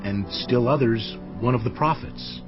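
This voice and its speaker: An actor playing an earnest male disciple answering deliberately in a dramatized audio Bible. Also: medium pitch, close to an everyday voice. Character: male disciple, earnest, reporting to his teacher. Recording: dull sound with music behind. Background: music.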